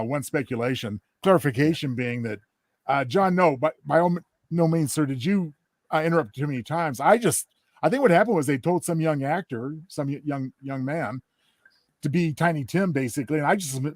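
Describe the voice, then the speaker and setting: A man's voice: on the high side, a little fast, no room sound. A man chatting casually as a podcast host, with a wordy, mock-formal way of phrasing things. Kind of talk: casual chat.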